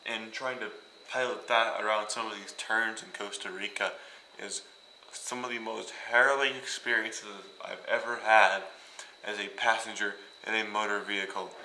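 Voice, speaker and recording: A man's voice: fairly low pitch, steady tone, normal speed, a little hiss or hum. Joking young man in an echoey room. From Iowa, United States. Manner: rambling, joking, with sudden loud bursts. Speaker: vlogger. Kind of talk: casual travel vlog. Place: hotel room.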